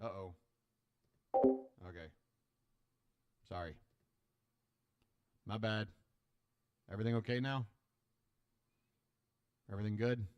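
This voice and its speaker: A man hosting a live stream, rambling and self-deprecating in casual reaction commentary, with a booming voice and a slight wet lisp, swearing casually, on a desk microphone. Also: slow pace, long pauses, smooth, no echo, highs cut off.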